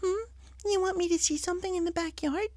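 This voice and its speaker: A man voicing a gentle, timid young female pony in a soft, breathy falsetto for a story reading. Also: steady tone, brisk, no room sound. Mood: puzzled, timid.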